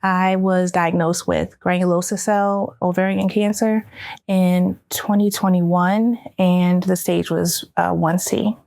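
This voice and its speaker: American woman panelist, clean resonant tone, a little low, open and candid testimony. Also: level tone, slow, creaky, a little mellow, no echo, studio quality. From Baltimore, United States. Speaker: round-table panelist, army veteran, cancer survivor. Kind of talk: personal testimony in a round-table talk.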